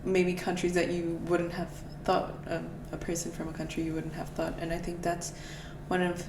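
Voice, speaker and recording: A woman's voice: fairly low pitch, completely flat delivery, normal speed. A woman from Portugal hosting a podcast interview, speaking reflectively and thinking aloud. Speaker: podcast host. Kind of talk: casual interview conversation. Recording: roomy, distant, noisy recording.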